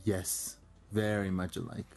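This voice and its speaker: A man whose first language is Armenian, a guest on a talk show, explaining his diplomatic work in a fluent, steady manner with frequent ums and uhs. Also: low-pitched, measured pace, gravelly. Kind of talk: casual interview talk.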